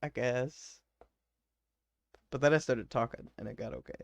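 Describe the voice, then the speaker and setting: A man with a gentle Southern accent, a tabletop game player rambling in a loose, jokey way after a session. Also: medium pitch, lively intonation, moderate pace, creaky. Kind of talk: casual post-game chat.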